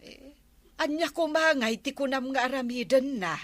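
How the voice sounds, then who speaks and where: A woman's voice: high voice, normal speed, fairly bright tone, no echo. A woman with an Ilocano Filipino accent playing a gossipy villager in a comedy radio drama.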